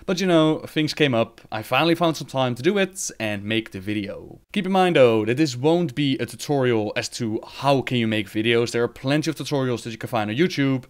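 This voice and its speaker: A man from the Netherlands with a Dutch accent, speaking English as a second language, giving an earnest, chatty scripted voiceover about how he makes his videos from his home desk. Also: medium pitch. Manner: earnest, chatty, self-deprecating asides. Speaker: lore video creator.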